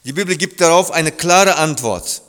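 A middle-aged man from Germany preaching with a fairly high voice. He talks earnestly, with halting restarts that build into emphatic appeals. His words ring out with a long reverb, as in a church hall. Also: a bit bright. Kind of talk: sermon.

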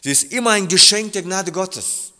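A middle-aged man from Germany preaching a sermon, earnest and halting, with restarts and emphatic appeals. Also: sits high, expressive, sharp tone, long reverb tail.

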